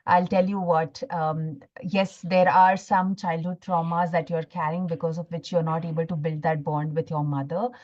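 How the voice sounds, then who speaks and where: A woman's voice: deep voice, little pitch movement, normal speed, hardly any pauses, darkish tone, highs cut off. A woman with an Indian accent hosting a live online motivational masterclass, repeating phrases for emphasis and checking in with her listeners. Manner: repeats phrases for emphasis, seeks agreement with "right?". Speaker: workshop host and healing coach.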